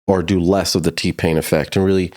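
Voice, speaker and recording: Low-pitched man giving a casual tutorial. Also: level tone, fairly quick, darkish tone. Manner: informal, explains step by step. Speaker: music production tutor.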